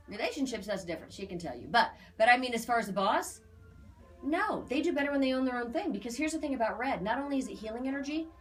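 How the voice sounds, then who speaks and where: An American woman explaining an aura reading to clients in a casual, emphatic, animated way, repeating phrases for emphasis. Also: fairly high pitch. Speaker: aura reader.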